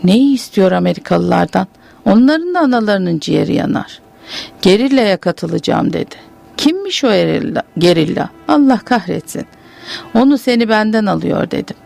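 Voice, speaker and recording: A woman's voice: medium pitch, animated, normal speed, vocal fry, a little mellow. A woman reading aloud as a bitter old pasha's widow, in a grim, brooding monologue. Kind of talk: literary reading.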